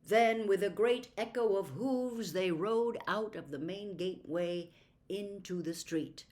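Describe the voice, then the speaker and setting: A woman narrates a storybook at a very unhurried pace. Her mid-pitched voice delivers each phrase carefully, in the measured manner of an audiobook reader. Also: darkish tone.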